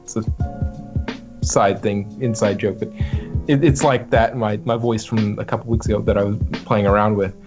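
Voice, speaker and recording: A man's voice: fairly low pitch, steady tone, normal speed. Reflective man chatting over background music. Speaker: guest voice actor. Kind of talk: casual podcast chat about acting.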